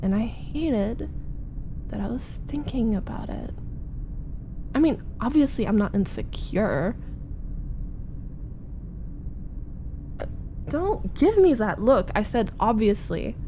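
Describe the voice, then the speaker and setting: Woman acting a slow-talking, frustrated young roommate. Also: on the high side, sing-song, warm tone, slight background noise. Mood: defensive. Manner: halting, sighing, dramatic, emphatic complaining. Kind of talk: ASMR roleplay, intimate first-person monologue.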